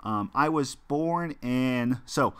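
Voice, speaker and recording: A man's voice: mid-range voice, takes it slowly, clean tone. A young American man streaming a mobile football game, chatting with viewers in a rambling way with lots of fillers and restarts. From United States. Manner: chatty, talks to viewers while playing. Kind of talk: casual gameplay stream chat.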